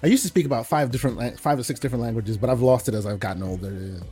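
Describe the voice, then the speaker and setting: A middle-aged man who grew up in the Netherlands, a guest telling stories in a relaxed way, laughing often and repeating phrases. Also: mid-range voice, very fast, loud music behind the voice. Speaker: podcast guest. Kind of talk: casual interview chat.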